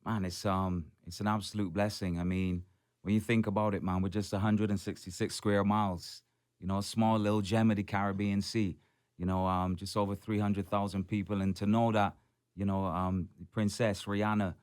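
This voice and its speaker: A man's voice: lowish voice, little pitch movement, normal speed, a little mellow, clear, resonant tone. A Barbadian soca artist in his forties, telling his story on a radio show in an upbeat way and often saying "man" and "blessed". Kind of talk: radio interview.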